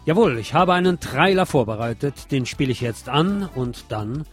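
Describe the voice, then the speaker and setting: A man's voice: mid-range voice, expressive, moderate pace. Male radio co-host over music. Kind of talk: radio presenting, handing over.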